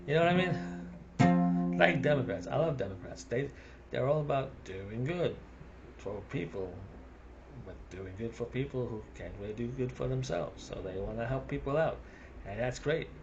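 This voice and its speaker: A man's voice: mid-range voice, expressive, a little slow, dull audio. Rambling South African man, guitar behind. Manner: rambling, chuckling between thoughts. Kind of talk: casual vlog monologue.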